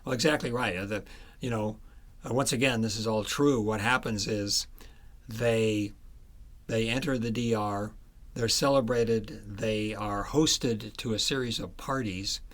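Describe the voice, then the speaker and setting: A man's voice: fairly low pitch, fairly even intonation, measured pace, fairly bright tone. A man being interviewed as an author, telling history in a conversational, explanatory and emphatic way.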